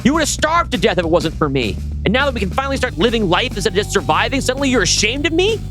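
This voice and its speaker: Jilted male killer acted by a man: strained high voice, menacing, possessive, theatrical pleading and sneering, horror drama. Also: sing-song, very fast, music in the background. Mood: furious.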